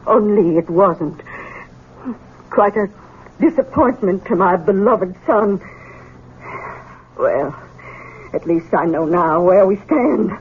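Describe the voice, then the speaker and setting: An actress playing an imperious but frightened elderly mother in a 1970s radio mystery drama, halting and indignant. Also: medium pitch, expressive, slightly rough, heavily acted. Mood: wounded, accusing. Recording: narrow old radio sound, faint noise.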